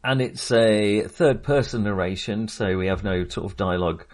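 A man with a slightly low voice hosts a casual film podcast. He talks in a rambling, hesitant way, circling back on his phrases with a wry touch. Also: moderate pace, a little mellow. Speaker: podcast host.

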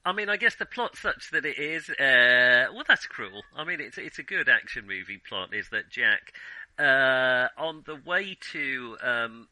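A man hosting a podcast, talking casually about film in a halting, hesitant way. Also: mid-range voice, expressive, bright, forceful tone, no room sound, poor audio. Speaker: podcast host.

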